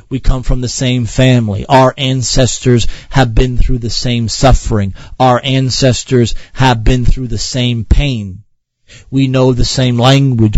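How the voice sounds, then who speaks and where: A man's voice: medium pitch, a little mellow, no echo, lo-fi sound. A man hosting a radio talk show, lecturing earnestly with repeated phrases and frequent fillers. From Ireland.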